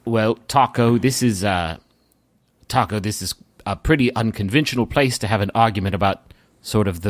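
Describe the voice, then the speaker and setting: A man's voice: on the low side, no room sound, natural voice. A man playing an uneasy, polite male reaper in a tabletop game, speaking hesitantly and apologetically.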